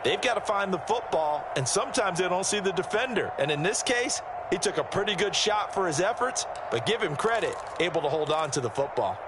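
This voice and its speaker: A folksy American color commentator in a football video game talks in a slightly high voice. He explains things in a chatty, emphatic way over loud stadium noise. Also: animated, a little fast. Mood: engaged, emphatic.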